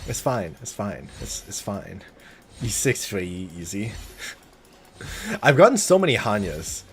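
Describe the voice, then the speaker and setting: A man's voice: lowish voice, big pitch swings, takes it slowly, noisy background. A man giving casual, joking, sweary live commentary on a game. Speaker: game streamer. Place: live stream with faint game music behind.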